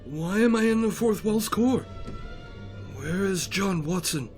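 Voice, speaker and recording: Man playing a high-voiced indignant old-fashioned gentleman. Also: expressive, slow pace, faint music behind. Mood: confused, suspicious. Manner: formal, indignant, demanding. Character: indignant, formal Victorian gentleman demanding answers. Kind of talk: audio drama acting.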